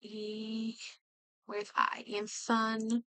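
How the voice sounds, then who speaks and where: A woman's voice: fairly high pitch, monotone, drawn-out words, sharp tone, clean tone, no room sound, low-quality recording. A woman game streamer chatting casually as she plays, muttering and thinking aloud to herself. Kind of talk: casual livestream chat while playing a game.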